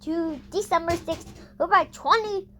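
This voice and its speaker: A child with a high voice delivers a homemade emergency alert. The reading is slow and stumbles often, recorded at home with some background noise. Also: bright, forceful tone. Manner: halting, stumbling read-out delivery. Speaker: amateur video maker. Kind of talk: pretend emergency alert announcement. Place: at home with family talking nearby. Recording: noisy home recording with household chatter.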